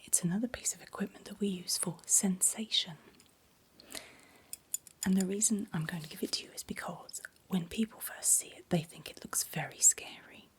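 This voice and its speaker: A middle-aged woman whispering breathily in a gentle, reassuring ASMR medical exam roleplay, playing a doctor giving close personal attention. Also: medium pitch, moderate pace.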